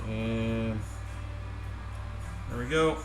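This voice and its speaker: A man giving a casual, step-by-step product demonstration in a halting, plain-spoken way. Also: on the low side. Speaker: presenter demonstrating converted car radios. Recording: roomy echo, music playing from radio. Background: music from the demonstrated radio.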